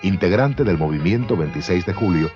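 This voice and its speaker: Deep-voiced solemn documentary narrator. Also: male voice, expressive, brisk. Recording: dull sound over music.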